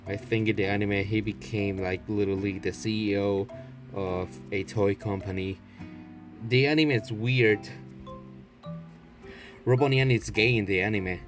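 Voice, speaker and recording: A man with a fairly low voice talks off the cuff like a video blogger showing things off. He rambles casually and swears freely, with music clearly playing behind him. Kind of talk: unscripted casual show-and-tell.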